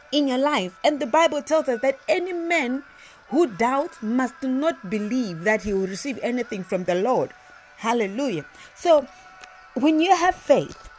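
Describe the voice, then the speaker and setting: A woman preaching a sermon, emphatic and repetitive, often restarting her sentences. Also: on the high side.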